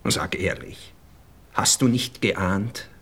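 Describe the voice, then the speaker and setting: Vain male writer and lover, Austrian accent, lowish husky voice, pompous, gushing, theatrical radio play. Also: slow, bright, forceful tone, full character voice. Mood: solemn, adoring.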